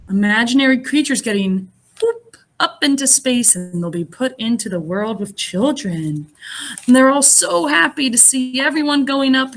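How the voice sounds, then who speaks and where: A woman reading a picture book aloud as a teacher would to young children, expressive and pausing to explain things in simple words. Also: sits high. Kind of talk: animated children's read-aloud with asides.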